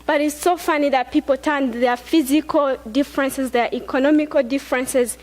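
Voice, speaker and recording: A young woman from Rwanda speaks on a large auditorium stage. Her high voice stays earnest and conversational, with plenty of fillers and restarts. A headset mic picks her up close. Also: fairly even intonation, normal speed, a little mellow. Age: young adult. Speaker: student speaker. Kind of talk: personal talk on stage.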